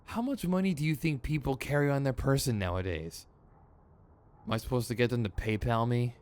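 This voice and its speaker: A man's voice: mid-range voice, brisk, a little mellow, close to an everyday voice. A man playing a theatrical, sardonic supervillain in a scripted ASMR roleplay, exasperated and smug, with mock menace and a grumbling, rambling streak.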